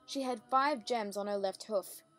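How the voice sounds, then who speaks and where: A woman's voice: medium pitch, a little slow, a bit bright. A woman reading scripted story narration as the narrator of a dramatic reading. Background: faint music.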